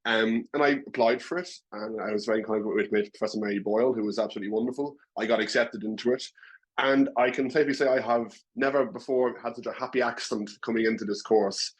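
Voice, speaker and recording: A man's voice: mid-range voice, steady tone, fast talker, husky, rough recording. A young man with a Dublin Irish accent, speaking earnestly as a current student in a webinar. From Ireland. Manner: earnest, wordy, self-deprecating. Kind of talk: webinar speech.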